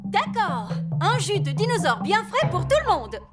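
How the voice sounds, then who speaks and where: Une comédienne qui double une lapine adulte affairée et enjouée dans un dessin animé pour enfants, au débit vif et chantant. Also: female voice, very high voice, big pitch swings, moderate pace. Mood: cheerful, playful. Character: busy, cheerful adult lady rabbit working many jobs. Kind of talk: children's cartoon dubbing. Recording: loud music behind the voice.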